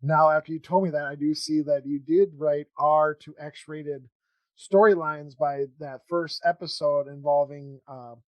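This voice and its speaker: Halting, higher-pitched man chatting casually. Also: fairly even intonation, no echo, muffled recording. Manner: halting, rambling, thinking aloud. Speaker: podcast host interviewing a guest. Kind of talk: casual chat.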